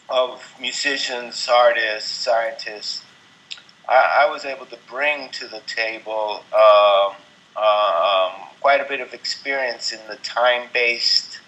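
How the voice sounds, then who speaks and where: A middle-aged man from Venezuela speaking as an interview guest, thoughtful and halting, with many ums and restarts. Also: mid-range voice, sharp tone, poor audio. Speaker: interview guest, artist and composer. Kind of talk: casual interview talk.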